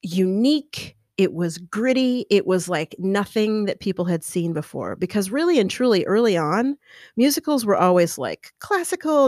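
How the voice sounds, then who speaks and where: A middle-aged woman with a lower mezzo-alto voice, hosting a podcast and telling a personal story in a lively, animated way, talking with her hands. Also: no room sound, clean studio sound. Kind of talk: casual storytelling. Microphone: podcast microphone, close.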